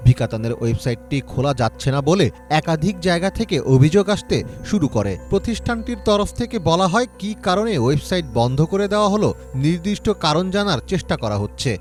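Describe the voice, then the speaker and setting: A man's voice: high voice, sing-song, fast talker, faint music behind. A man with a Bangladeshi accent reading a scripted news report as a voice-over.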